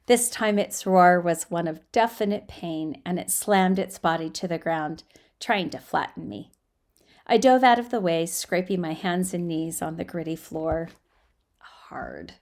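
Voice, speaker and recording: Woman, somewhat low pitch, amateur storyteller, dramatic fiction narration, expressive and emphatic delivery, occasional word stumbles. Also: measured pace. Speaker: amateur storyteller reading aloud.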